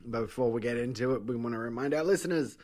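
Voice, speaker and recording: A man hosting a podcast, chatting casually and running through show plugs briskly. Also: mid-range voice, darkish tone. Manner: friendly, reads network plugs briskly. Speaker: podcast host.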